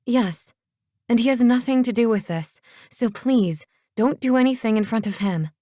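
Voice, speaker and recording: A woman's voice: on the high side, moderate pace, warm tone, dry recording, voice pushed up, natural voice. A weary teenage girl, read by a woman narrator in a novel. She sounds anxious and pleading, reluctant to give in, recorded close and dry. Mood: pleading, exasperated. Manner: tired, pleading, hesitant.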